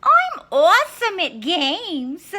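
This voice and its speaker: Eitles Rotkäppchen mit sehr hoher Stimme. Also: female voice, extremely high pitch, huge pitch swings, pushed and bright, voice pushed up, exaggerated voice. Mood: boastful. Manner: gushing, singsong, drawn-out. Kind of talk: storybook character voice.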